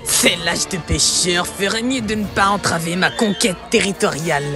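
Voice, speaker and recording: A boastful demon warlord with machines, played by a man. He declaims slowly and grandly, savoring every brag. Music plays clearly behind him. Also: high-pitched, lightly acted. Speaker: fan dub actor.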